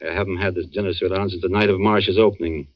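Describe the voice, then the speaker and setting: An actor playing an earnest, somber man, a knowing family friend, with measured dramatic delivery in 1940s radio style. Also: low voice, steady tone, natural voice. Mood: grave. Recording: muffled old radio recording.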